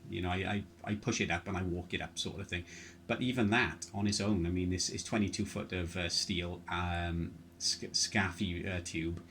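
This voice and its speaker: Low-voiced man chatting over noisy ham radio. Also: fairly even intonation, moderate pace, fairly bright tone. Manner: rambling, explains technical details at length. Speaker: amateur radio operator.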